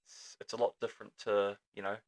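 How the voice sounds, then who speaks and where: A man's voice: lowish voice, little pitch movement, a little fast. A man from Auckland with a New Zealand accent, a guest on a casual podcast in a small studio, talking in an easygoing, chatty way with lots of fillers. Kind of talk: casual chat interview.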